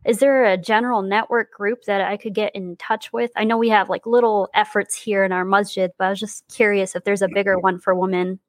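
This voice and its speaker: A woman's voice: mid-range voice, steady tone, fairly quick, hardly any pauses, no room sound. A woman from Southern California calling in to a live stream to ask a casual question. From Southern California, United States. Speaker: caller asking questions. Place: online call.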